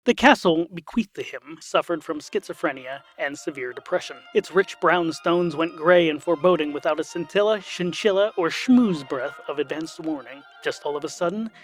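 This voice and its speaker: Man, narrator, fairly high pitch, theatrical storytelling, scripted comic fantasy, music bed. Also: moderate pace, few pauses, rough recording.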